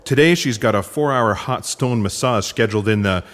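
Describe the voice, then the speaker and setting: A dry-witted, patient intelligence agent acted by a man for comic sci-fi audio theatre. His voice sits a little low and stays wry. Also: normal speed, fairly bright tone, natural voice.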